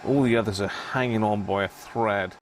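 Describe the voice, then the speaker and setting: Male live-stream host, lowish voice, casual halting workbench commentary, clear background noise. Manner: thinks aloud in short, halting phrases.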